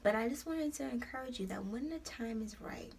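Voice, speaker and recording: Encouraging young woman with a gravelly voice. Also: on the high side, lively intonation, a little fast, a little hiss or hum. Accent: New York. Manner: warm, preachy and encouraging, calls viewers honey. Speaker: host of an encouragement site. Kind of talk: casual motivational vlog.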